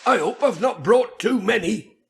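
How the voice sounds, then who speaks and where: A man voicing a friendly, eager village gardener, brisk and helpful, in a children's audiobook. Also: high voice, animated, creaky, a little hiss or hum, pitched above the performer's own voice.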